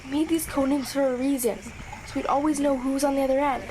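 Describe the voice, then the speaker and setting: A woman's voice: high-pitched, fairly even intonation, fast, a little mellow, close to an everyday voice. A woman playing a young girl scout alone in a radio drama, childlike and earnest, anxious but determined. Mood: lonely, earnest. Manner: childlike, earnest, self-reassuring. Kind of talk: audio drama monologue as radio transmission. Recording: clearly noisy radio transmission with ambience.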